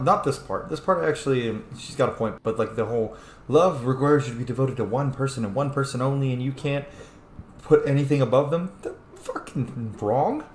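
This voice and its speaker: A man with an average-pitched voice commentates casually over a role-playing game. He talks bluntly and swears freely, in a roomy, echoing space with faint noise behind him. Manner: blunt, heavily profane.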